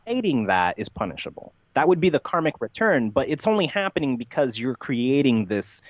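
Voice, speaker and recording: A man talking as a podcast guest, explaining his ideas assertively with halting pauses. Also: mid-range voice, sing-song, dry recording, highs cut off. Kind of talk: conversational interview, expounding ideas.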